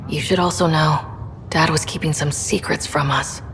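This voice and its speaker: A woman's voice: low-pitched, steady tone, moderate pace, fairly bright tone. A woman playing an earnest, caring young explorer in a sci-fi video game, talking in a subdued, conversational way. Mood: confiding. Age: young adult. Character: earnest, caring young female space explorer, twin sister. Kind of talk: naturalistic video game dialogue acting. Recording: reverberant room, faint ambient noise.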